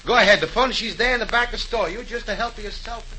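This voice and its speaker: An actor playing a friendly, excitable Italian immigrant fruit store owner in broken English with an Italian accent, in 1930s radio style. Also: male voice, high voice, fast talker, rough recording. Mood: puzzled, obliging. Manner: colourful broken English, eager and questioning. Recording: hissy 1930s radio recording.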